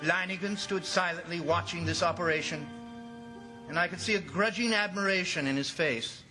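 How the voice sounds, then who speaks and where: An actor playing an anxious district commissioner who narrates a jungle disaster in grave, urgent old-time radio style. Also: male voice, sits high, moderate pace, music in the background, close to an everyday voice. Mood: grave, tense.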